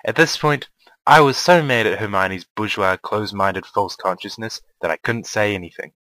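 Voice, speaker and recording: Low, bright-voiced man narrating. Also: normal speed, no room sound, low-quality recording. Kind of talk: scripted first-person story narration.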